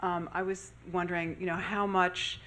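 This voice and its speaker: This is an audience member asking a question haltingly, with many fillers. The voice sits at a middle pitch, with faint room noise behind it. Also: little pitch movement, sharp tone.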